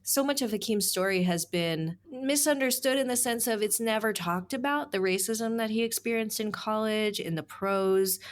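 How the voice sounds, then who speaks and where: A young adult woman author being interviewed, speaking earnestly and with animation, often saying "like" in casual chat. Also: medium pitch. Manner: earnest, animated, heavy use of "like". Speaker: author and staff writer, guest.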